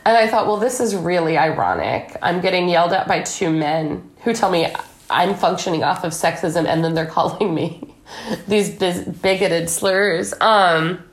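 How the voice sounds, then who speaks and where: A woman's voice: on the low side, unhurried. A woman speaking as an interview guest in a conversational political discussion, with an emphatic, anecdotal way of talking. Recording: echoey room.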